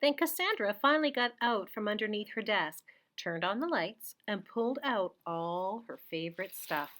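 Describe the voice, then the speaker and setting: A woman's voice: mid-range voice, expressive, normal speed, a bit bright. A woman who works as a teacher reads a children's picture book aloud. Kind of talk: children's storybook read-aloud.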